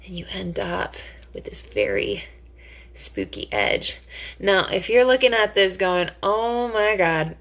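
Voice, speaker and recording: A woman giving a casual cooking demonstration in a chatty, theatrical, playfully enthusiastic way. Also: on the low side.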